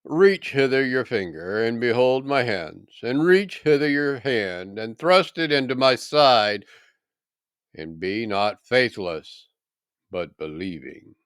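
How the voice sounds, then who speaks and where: Solemn, slow holy teacher voiced by a man. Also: mid-range voice, expressive, fairly bright tone, clean tone, no room sound, studio quality. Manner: solemn, deliberate. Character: calm, authoritative male holy teacher risen from death. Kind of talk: dramatic scripture reading.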